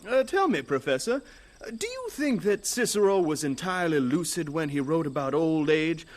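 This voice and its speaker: An actor playing a pompous, bookish young college student with stilted, precise diction in a radio drama. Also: male voice, on the high side, big pitch swings, moderate pace. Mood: haughty, puzzled.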